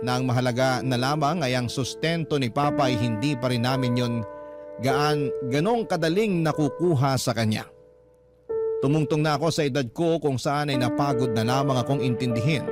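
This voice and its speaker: A male radio storyteller with a medium-pitched voice reads a personal letter dramatically. Loud music runs under his narration throughout. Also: moderate pace.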